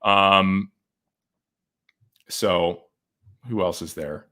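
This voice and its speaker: Bright-voiced man livestreaming legal commentary. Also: sits low, fairly even intonation. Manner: halting, many restarts and fillers, thinking aloud. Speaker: lawyer and show host.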